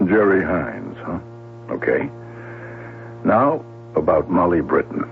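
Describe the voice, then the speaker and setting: Dry, methodical cop, gravel-voiced with lively swings of pitch, vintage radio acting, narrow humming recording. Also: male voice, takes it slowly, a little hiss or hum, exaggerated voice. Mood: dryly inquisitive. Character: gruff, methodical male police detective, probing and dry. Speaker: actor in a radio mystery. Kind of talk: 1970s radio drama acting. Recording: tinny, humming old radio broadcast recording.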